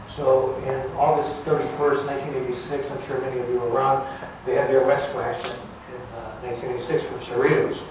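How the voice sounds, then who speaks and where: Older guy giving a folksy talk, kinda halting, super echoey and far from the mic. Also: medium pitch, level tone. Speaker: guest speaker, former air traffic controller. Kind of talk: informal public talk recounting career. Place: large echoey museum room. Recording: echoey hall, distant mic, clear background noise.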